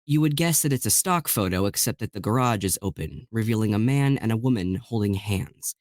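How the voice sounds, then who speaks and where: A man playing a sober podcaster who recounts eerie findings in a measured, serious horror audio drama monologue. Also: on the low side, expressive, a little mellow, no echo, clean studio sound, lightly acted. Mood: grave, uneasy.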